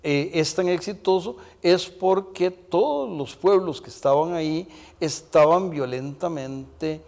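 Halting, slow-spoken older man in reverberant room. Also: on the high side, vocal fry, a little mellow. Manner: halting, deliberate delivery with long hesitations. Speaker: interview show host. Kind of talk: televised conversational interview. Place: brick-walled indoor room, television set. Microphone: lapel mic.

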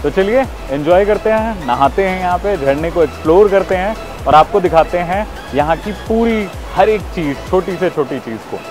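A man's voice: sits high, expressive, normal speed, over music. A man, talking casually and enthusiastically to his viewers as a travel vlogger, urging them on. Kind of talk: casual vlog talk to viewers. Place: outdoors, on the road and by a waterfall.

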